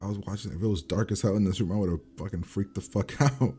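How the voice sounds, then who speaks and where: A man's voice: on the low side, fast, dark tone, slightly rough. A man giving casual, profane reaction commentary in a blunt, slangy way. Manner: blunt, slangy, swears constantly. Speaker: host reacting to a TV episode.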